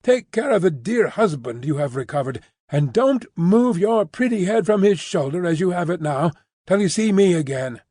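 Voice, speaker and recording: A man's voice: high-pitched, animated, moderate pace, darkish tone, no room sound, studio quality. A man voicing a fussy, fiercely loyal Englishwoman housekeeper who talks emphatically and primly. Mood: emphatic. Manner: emphatic, bustling, prim.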